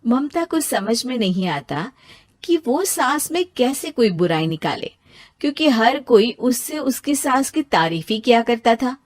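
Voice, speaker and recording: A woman's voice: on the high side, sing-song, unhurried, no room sound, clean studio sound. A woman narrating a scripted moral story as its storyteller.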